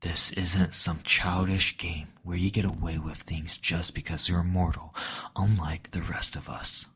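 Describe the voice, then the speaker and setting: Guy doing a cold bossy demon lord, really husky and slow, possessive roleplay stuff. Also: low voice, little pitch movement, a bit bright, exaggerated voice. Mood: stern, threatening. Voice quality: breathy, husky. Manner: slow, deliberate, domineering. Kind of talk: intimate scripted roleplay audio.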